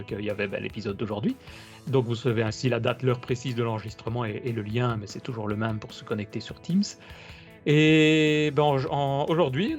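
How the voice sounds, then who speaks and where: Chatty man hosting a podcast. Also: medium pitch. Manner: chatty, loose, with friendly restarts. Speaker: podcast host. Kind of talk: casual podcast interview. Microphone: video call.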